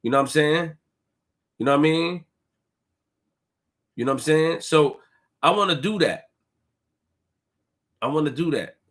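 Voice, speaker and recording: A man hosting a casual livestream, shouting out viewers, reading donations and repeating phrases to his chat. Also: on the high side, expressive, a little slow, long pauses. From Haitian family roots. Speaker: livestream host.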